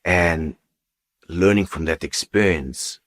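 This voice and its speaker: Very deep-voiced man giving testimony. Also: slow pace, a bit bright. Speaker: guest giving a personal testimony. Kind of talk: unscripted personal testimony.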